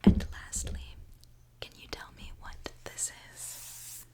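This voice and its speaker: A woman whispering a gentle ASMR roleplay as a reassuring repair mechanic, talking softly and directly to the listener. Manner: soft, gentle, reassuring, unhurried. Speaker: ASMR performer playing a robot repair mechanic.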